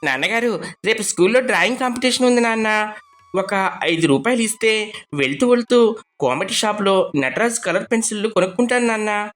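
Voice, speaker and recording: A man puts on an eager small boy from a cartoon moral tale. The voice is raised high and pleads excitedly, with faint music behind. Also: fairly quick. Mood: pleading. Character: eager ten-year-old boy pleading with his father.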